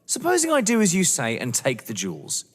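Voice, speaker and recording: A man's voice: fairly high pitch, huge pitch swings, fairly quick. An actor playing a sceptical, blunt young man, a wary lover, in an audio drama. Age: young adult. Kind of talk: theatrical audio drama acting. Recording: echoey hall-like reverb.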